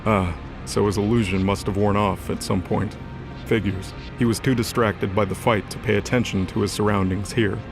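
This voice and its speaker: A male narrator reads scripted dramatic fiction. His voice sits low and has a gravelly fry to it. Music plays clearly behind the narration. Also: flat delivery, normal speed. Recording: music under the voice.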